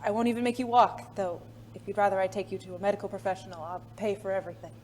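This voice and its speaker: A woman playing a refined, secretive former spy in an audio drama, speaking formally and haltingly, with endearments. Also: medium pitch, steady tone, a little mellow, slight background noise, close to an everyday voice. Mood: tender, anxious.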